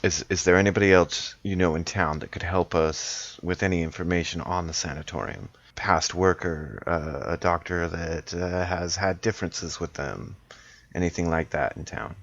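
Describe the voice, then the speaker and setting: A man's voice: low-pitched, normal speed, a bit bright, no echo. A man taking part in a tabletop role-playing game as a player, talking casually and thinking aloud, with slightly dull home-studio sound.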